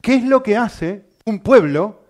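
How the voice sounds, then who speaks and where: A man preaching a sermon, asking the congregation rhetorical questions and speaking with lively emphasis. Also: sits high, slow.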